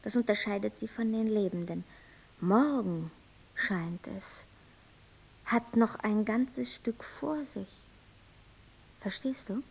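A woman playing an exacting, brooding angel who directs invented characters in a radio play, with a creaky voice and deliberate, didactic delivery. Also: medium pitch, exaggerated voice, rough recording. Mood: pondering.

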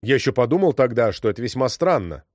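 A man narrates a string of short first-person stories in a calm, even read. His voice sits at an ordinary middle pitch. The sound is dry and close, with no room around it. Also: fast, no room sound. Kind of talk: scripted narration.

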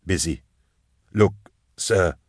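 A man reading the part of a weary, deferential male subordinate in an audiobook, halting and apologetic. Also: sits low, darkish tone, breathy edge, no echo, clean studio sound, full character voice.

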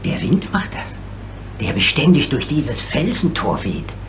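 An actor playing a gentle, courteous, lonely old giant in a radio play, explaining things in a theatrically expressive way. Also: male voice, medium pitch, unhurried, slight background noise. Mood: ominous warning.

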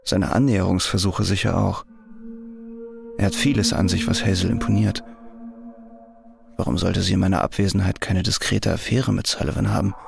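An actor playing a bitter, wrongly jailed man who broods in a quiet inner monologue in a radio crime drama. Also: sits low, steady tone, moderate pace, vocal fry, pauses often, music in the background. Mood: brooding.

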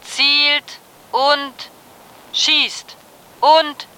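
Very slow, bright female reciter. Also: sits high, little pitch movement, full character voice. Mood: solemn, deliberate. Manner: measured, declamatory. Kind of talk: radio drama recitation.

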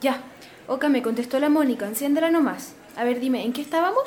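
A woman's voice: high voice, fast talker, a little mellow, close to an everyday voice. A woman with a Chilean accent playing a young, frank activist in a radio drama. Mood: casual, guarded. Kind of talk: natural radio drama dialogue.

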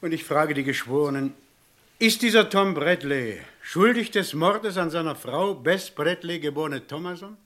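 A stern frontier judge proclaims in a loud, formal voice pitched on the high side. It is courtroom delivery from a Western radio play. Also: male voice, expressive, normal speed. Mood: stern, official. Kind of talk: theatrical radio drama acting.

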